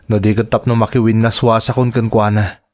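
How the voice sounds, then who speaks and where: A man's voice: fairly low pitch, flat delivery, fairly quick, natural voice. A man playing a troubled grown son seeking the truth about his sweetheart, earnest and dramatic, in a radio drama. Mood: troubled, resolute. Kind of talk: radio drama dialogue and inner monologue.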